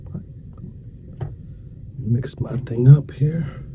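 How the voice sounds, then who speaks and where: A soft-spoken man playing a dentist in an ASMR roleplay, murmuring casual, reassuring and unhurried patter to a patient. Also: medium pitch. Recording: dull muffled lo-fi sound with faint noise.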